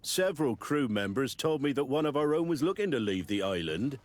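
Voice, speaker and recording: An actor playing an obliging, plain-spoken seafarer in a video game, helpful and matter-of-fact. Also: male voice, medium pitch, big pitch swings, a little fast, close to an everyday voice. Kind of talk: video game cutscene dialogue.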